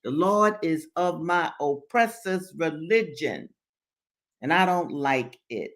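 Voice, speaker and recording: A woman's voice: low-pitched, animated, slow pace. A defiant man worn thin by waiting voiced by a woman reader, bitter and resolute. The speech is formal and emphatic, a rebuke delivered in storybook drama. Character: defiant, embittered enslaved man rejecting religion. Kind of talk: dramatic novel reading.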